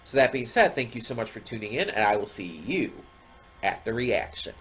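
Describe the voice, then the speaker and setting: A man's voice: mid-range voice, very animated, moderate pace, fairly bright tone, a little hiss or hum. A man with a stuffy nose and a slightly sore throat, talking casually at home as a reaction video host, rambling with lots of ums. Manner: rambling, many ums and restarts. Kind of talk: casual chat to camera. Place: home room with a window.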